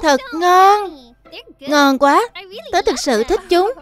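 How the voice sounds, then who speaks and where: An actor voicing an eager, excitable childlike animal cub in a dubbed children's cartoon. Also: very high voice, slow, soft background music, full character voice. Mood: delighted.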